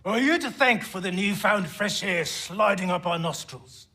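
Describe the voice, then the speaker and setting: A man's voice: high-pitched, animated, moderate pace, bright, forceful tone, full character voice. A man playing a hard-drinking, sardonic dwarf resistance leader in a video game, wry and wordy. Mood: wry, defiant. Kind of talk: video game cutscene acting.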